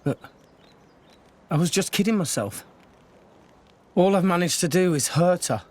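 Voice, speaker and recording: A man's voice: sits high, expressive, a little slow. A man voicing an earnest, self-doubting teenage boy hero in a video game. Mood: defeated.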